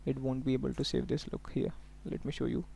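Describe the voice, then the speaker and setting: Flat-toned man giving a software walkthrough. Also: mid-range voice, fast, creaky, slight background noise. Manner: instructional, often checking in with okay and look here. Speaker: software trainer. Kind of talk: live software tutorial, step-by-step walkthrough.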